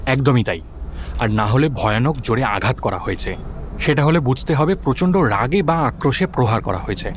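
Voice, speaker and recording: A man playing a sharp, deferential junior police officer in an audio drama, reporting analytically and mixing in English words. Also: medium pitch, expressive, moderate pace, a little hiss or hum, natural voice. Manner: reporting to a superior, peppers speech with English. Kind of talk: detective audio drama acting.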